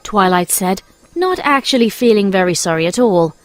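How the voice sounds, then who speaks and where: A woman's voice: mid-range voice, lively intonation, normal speed, dry recording. A woman narrator reading a scripted story in storybook narration style. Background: soft music.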